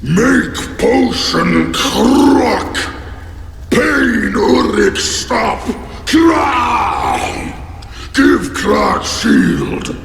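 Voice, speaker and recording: Gravelly game creature, halting broken speech, swooping pitch, roomy and noisy sound. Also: male voice, wildly swooping pitch, fairly bright tone, exaggerated voice. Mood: agitated, pleading. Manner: broken, clipped speech in grunted fragments. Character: crude monstrous creature bargaining for human skulls. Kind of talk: fantasy game character acting.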